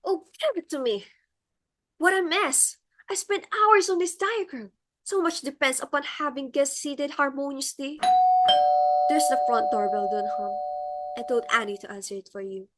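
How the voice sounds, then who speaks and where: A self-important society hostess played by a woman, frantic and quick to rage. Her voice is high and bright, and she delivers everything with theatrical, exclamatory indignation, as in a stage comedy. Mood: exasperated. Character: imperious middle-aged society hostess, frantic, self-important, easily enraged. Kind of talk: comic stage-play acting.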